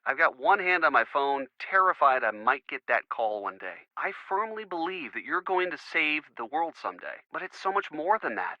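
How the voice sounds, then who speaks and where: A man's voice: fairly high pitch, very animated, moderate pace, few pauses, bright, forceful tone, no room sound, dull audio, heavily acted. A man playing an earnest, devoted college-age boyfriend in a video game, boyish and a little rambling, as if talking on the phone. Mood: earnest, tender.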